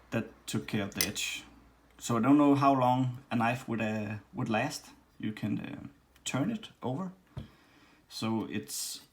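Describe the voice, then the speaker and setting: A man from Denmark with a Danish accent, casually demonstrating and reviewing a leather machine, with many pauses and restarts. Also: medium pitch. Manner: halting, many ums and restarts. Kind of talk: casual workshop demo. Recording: faint background noise and clicks.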